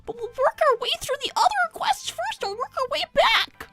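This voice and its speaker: A woman's voice: extremely high pitch, sing-song, a little fast, over music, full character voice. A woman voicing a polite, hesitant, diplomatic female group leader in improvised cartoon roleplay. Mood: politely awkward. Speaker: group session host.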